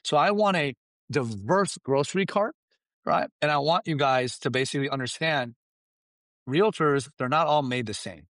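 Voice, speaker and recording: A man whose first language is Farsi, giving emphatic, animated business coaching and often checking in with "right?" and "you understand?". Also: on the high side, normal speed, no room sound. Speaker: guest expert. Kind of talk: energetic business coaching talk.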